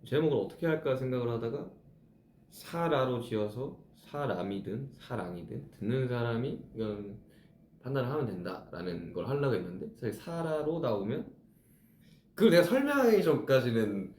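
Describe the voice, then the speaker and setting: A man's voice: mid-range voice, big pitch swings, normal speed, darkish tone, reverberant, a little hiss or hum. A man in his thirties, a musician chatting casually with fans on a live stream, reflectively explaining how he writes his songs. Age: 30s.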